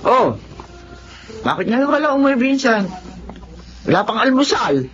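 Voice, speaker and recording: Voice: mid-range voice, very animated, a little slow, dull audio, music in the background. A performer with a Filipino accent playing an irritable, nagging middle-aged mother in a Tagalog radio drama. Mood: irritated, scolding.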